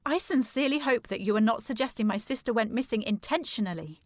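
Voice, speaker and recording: A woman playing a determined, formal young gentlewoman worried about her sister in a period audio drama. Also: fairly high pitch, animated, fast, no room sound, studio quality, close to an everyday voice. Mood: indignant, firm. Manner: formal, precise, insistent.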